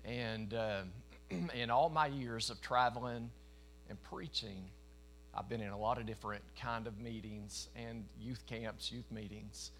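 Southern preacher from East Tennessee. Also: male voice, medium pitch, fairly even intonation, unhurried, slight background noise, poor audio. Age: 40s. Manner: folksy, emphatic, bursts of louder preaching. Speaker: traveling preacher. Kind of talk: sermon. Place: church meeting in front of a congregation.

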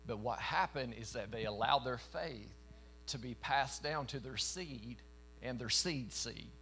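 A man in his forties with a thick East Tennessee drawl preaches to a congregation, folksy and emphatic, now and then rising into louder bursts. His voice sits at a middle pitch. A faint electrical hum runs under the recording. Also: unhurried, rough recording. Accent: East Tennessee Southern. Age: 40s. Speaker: traveling preacher. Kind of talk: sermon. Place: church meeting in front of a congregation.

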